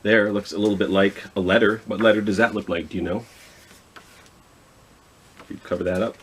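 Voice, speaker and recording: A man's voice: lowish voice, fairly even intonation, a little fast, breathy edge. A man hosting a children's storytime, reading aloud with chatty asides and questions to his listeners. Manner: chatty, self-talking, asks listeners questions. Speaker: children's library storytime host.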